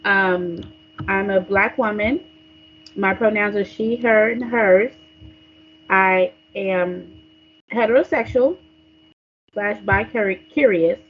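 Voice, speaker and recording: A woman's voice: mid-range voice, slow, long pauses. A woman in her late forties from Texas giving a casual self-introduction as a student on a podcast. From San Antonio, Texas, United States. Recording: muffled lo-fi audio.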